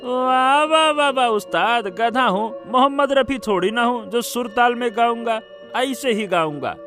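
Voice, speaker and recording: A man voicing a cheeky, lazy, mischievous donkey in an animated moral story. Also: extremely high pitch, measured pace, faint music behind, heavily acted. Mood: carefree, cheeky. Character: cheeky, lazy, thieving talking donkey who sings badly.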